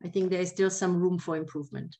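A woman's voice: fairly low pitch, steady tone, quick pace, warm tone. A woman panelist speaking in an online discussion over a video call, hesitant with many fillers and restarts.

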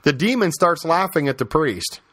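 A man's voice: on the high side, expressive, normal speed, a bit bright, slightly rough, no room sound. A man hosting a podcast, giving opinionated religious commentary and storytelling in an emphatic, conversational way, with repeated phrases and rhetorical questions. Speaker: podcast host.

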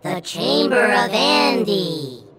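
A performer voicing a small, childlike toy alien in a video game, with solemn, reverent, drawn-out proclamations. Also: medium pitch, big pitch swings, a crowd in the background. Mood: solemn awe. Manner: slow, drawn-out, awestruck proclamations. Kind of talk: animated video game dialogue.

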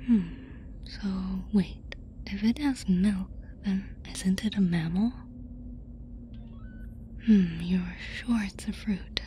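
A woman playing a curious, playful alien girl in a whispered ASMR roleplay, savouring and repeating words slowly. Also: mid-range voice, warm tone, a little hiss or hum, lightly acted.

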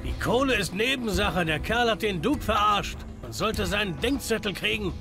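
A man playing a cynical, menacing enforcer in a video game, talking in a gruff, curt, sardonic way. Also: high voice, lively intonation, moderate pace. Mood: gruff, impatient. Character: cynical, menacing male debt-collecting enforcer. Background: music.

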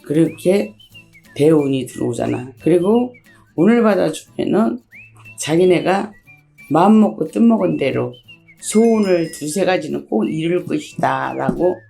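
A middle-aged woman, a shaman fortune teller, hoarse from a cold, explaining things slowly and plainly in an interview. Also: sits low, expressive, mellow, soft background music. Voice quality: husky, gravelly. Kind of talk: interview answers.

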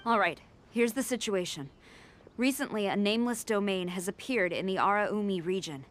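A woman voicing a dutiful female army general in a video game, composed, earnest and formal, with measured authority. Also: mid-range voice, natural voice. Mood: grave, apologetic. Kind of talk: video game character acting.

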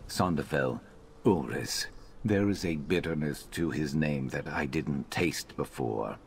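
An actor playing a brooding, determined reptilian warrior in a video game, terse and guarded. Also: male voice, very deep voice, measured pace, gravelly, slight background noise, full character voice. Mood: bitter. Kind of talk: video game character dialogue.

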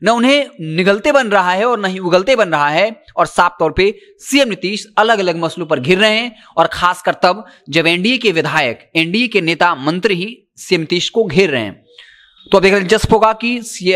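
A man. His voice sits high for a man and has a bright, forceful edge. He speaks emphatically and insistently, straight to the audience on camera. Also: expressive, normal speed. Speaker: news presenter. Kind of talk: news commentary, reporting to camera. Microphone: handheld microphone.

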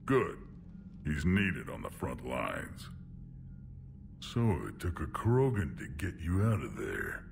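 Game role: huge proud alien warlord, blunt and gruff, slow weighty lines with big swings in pitch. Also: male voice, sing-song, a little hiss or hum, full character voice. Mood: stern, gruff. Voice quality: deep gravelly growl. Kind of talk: video game dialogue.

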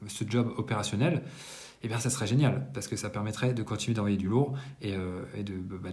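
A man in his thirties giving a casual monthly business debrief to camera in a bright room, talking conversationally with frequent hesitations and self-corrections. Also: fairly low pitch. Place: indoors, bright apartment room.

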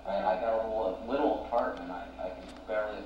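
A puzzled, ordinary dead man played by a male amateur rambles hesitantly in a drama scene. His voice sits away from the mic in heavy echo, with clear background noise. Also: fairly low pitch, fast, muffled recording, lightly acted. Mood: uncertain. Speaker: amateur actor in a hobby film. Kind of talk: casual amateur drama dialogue. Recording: echoey, distant, hissy homemade recording.

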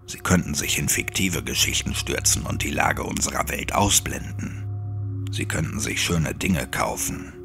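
A man acts out a weary, hollow monk in an audio horror story. His dark voice sits very low with a gravelly edge, hushed and formal as he gently warns, over music. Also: normal speed, full character voice. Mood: hushed, resigned. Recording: music under the voice.

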